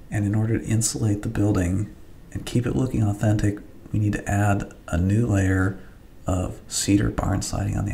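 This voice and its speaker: A man's voice: on the low side, gravelly, dark tone, slight background noise. A man in his forties hosting his own vlog, speaking plainly and earnestly, with ums and restarts. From Ohio, United States. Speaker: channel host, property developer. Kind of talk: casual vlog narration. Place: outdoors beside the barn. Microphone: camera held at arm's length.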